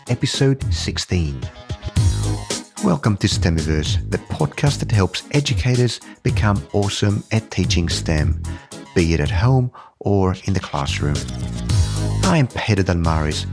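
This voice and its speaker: A man hosting a podcast, reading an emphatic intro and then chatting loosely with fillers. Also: low voice. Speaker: podcast host. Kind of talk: scripted intro then casual chat.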